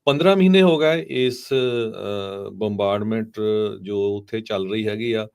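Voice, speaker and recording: A man presenting live radio news, relaying reports phrase by phrase with pauses and English terms mixed in. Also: fairly low pitch, vocal fry, darkish tone, clear, resonant tone.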